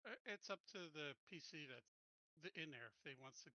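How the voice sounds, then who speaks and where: A man's voice: a little high, animated, a little fast, bright, forceful tone, no room sound, highs cut off. A man talking casually as a player in a tabletop game.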